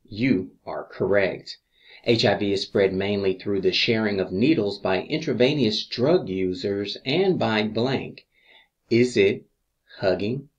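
Male instructor for exam review narration: fairly deep voice, very unhurried, measured reading of questions and options. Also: dull audio. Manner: measured, deliberate reading with pauses between answer choices.

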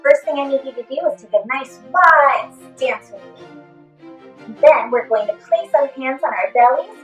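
A woman in her thirties giving an encouraging, animated lesson for children as a theatre performer. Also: high voice, muffled recording. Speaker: theatre performer teaching character voices. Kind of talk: instructional video for children. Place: small indoor room. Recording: echoey room, faint music.

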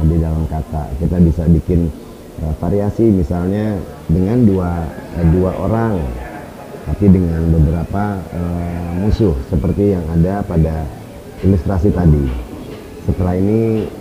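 A man in his forties, a karate instructor, explaining techniques in an easygoing way with frequent filler pauses. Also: deep voice, dull audio. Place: outdoor café courtyard at night.

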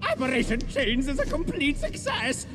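A fanatical game henchman, very high and wildly swooping. He proclaims his devotion to a dark lord with feverish grandeur over a noisy backdrop. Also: huge pitch swings, normal speed, full character voice. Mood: ominous exultation. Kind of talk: dramatic video game voice acting.

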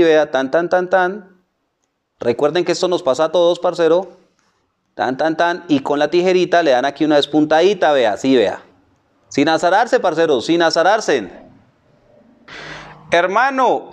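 A man with a Colombian accent giving a casual barbering tutorial, colloquial and repetitive, addressing the viewer like a buddy. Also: fairly high pitch. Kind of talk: casual hands-on tutorial narration.

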